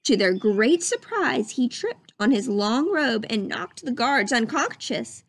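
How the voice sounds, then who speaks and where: A woman narrates a children's storybook. Her voice is fairly high and very lively in pitch, close and dry in sound. She tells it expressively, with a sense of drama. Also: measured pace, gravelly, no echo. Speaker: storybook reader. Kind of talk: scripted children's story narration, read aloud.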